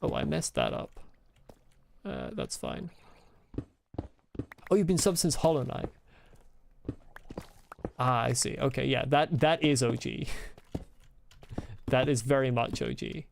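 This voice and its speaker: A man's voice: medium pitch, big pitch swings, slow pace, gravelly, pauses often, a little hiss or hum. A man from Scotland with a mild Scottish accent, chatting loosely on a game stream and answering chat messages with rambling asides and chuckles. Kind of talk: casual live-stream chat while gaming.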